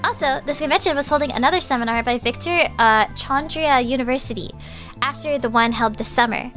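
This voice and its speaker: Bright-voiced woman reading a visual novel aloud. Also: high-pitched, brisk. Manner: reads narration, breaks off into loud excited reactions. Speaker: vtuber streamer. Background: game background music.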